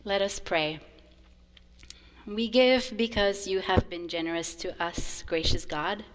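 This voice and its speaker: A woman whose first language is French, a choir director, gives a gentle children's message in church. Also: mid-range voice, takes it slowly, soft background music. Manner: warm, simple storytelling to children. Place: church sanctuary. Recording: long reverb of a church sanctuary.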